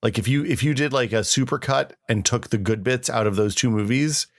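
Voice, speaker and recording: A man's voice: medium pitch, brisk, no room sound, studio quality. A middle-aged American man chatting casually as a podcast co-host, using informal, slangy phrasing. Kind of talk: casual tech nostalgia chat.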